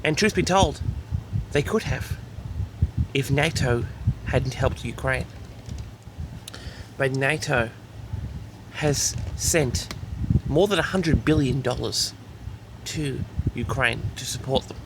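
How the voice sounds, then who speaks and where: A man's voice: medium pitch, slow pace, long pauses, noisy background. A man delivering a mock-serious, grandiose monologue, repeatedly addressing his audience with self-important certainty. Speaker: host of a comedic audio log.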